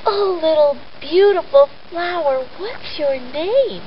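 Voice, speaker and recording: Woman voicing a humble, high-voiced girl pilgrim. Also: expressive, slow pace, exaggerated voice. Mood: tender wonder. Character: humble, earnest young female pilgrim, wondering and willing. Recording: roomy echo, dull sound, faint noise.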